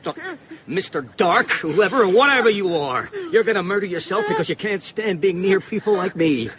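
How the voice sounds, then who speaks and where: An actor playing a brave, grimly determined middle-aged father in a radio drama, speaking with deliberate emphasis. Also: sits high, lively intonation, crowd noise. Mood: taunting, firm. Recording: muffled radio recording with background noise.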